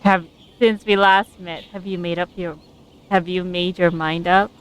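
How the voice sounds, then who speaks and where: A woman's voice: lowish voice, level tone, measured pace, a little hiss or hum, rough recording. A woman game streamer chatting casually and reacting aloud to a story as she plays, close to her microphone. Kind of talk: casual live gameplay commentary and reading along.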